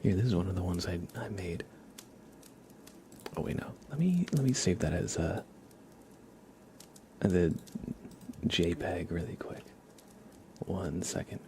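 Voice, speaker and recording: A very low, crackly male voice speaks softly, half whispering at times, in a casual ASMR stream. He rambles along informally with small halting pauses. There is light noise behind him, with keyboard typing. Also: expressive. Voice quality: soft, hushed, partly whispered. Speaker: livestream host.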